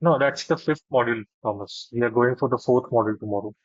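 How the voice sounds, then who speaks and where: A man's voice: mid-range voice, quick pace, no echo. A man whose first language is Marathi, chatting casually and with opinions on a video call. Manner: talkative, opinionated, joking. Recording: muffled dry video call audio.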